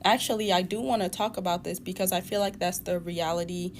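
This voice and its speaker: A woman's voice: on the low side, fairly even intonation, brisk, slight background noise. A woman vlogging reflectively, speaking in a hesitant way. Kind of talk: reflective vlog.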